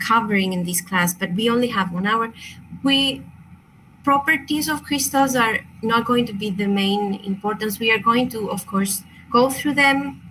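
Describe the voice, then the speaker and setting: A woman's voice: fairly high pitch, noisy background. A woman from Chile, a native Spanish speaker with a Chilean accent, presenting an online crystal workshop in a hesitant, apologetic way with many fillers and restarts.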